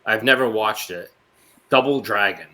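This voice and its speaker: A man's voice: fairly low pitch, moderate pace, bright, forceful tone. A man co-hosting a podcast, bantering casually with his friends. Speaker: podcast co-host.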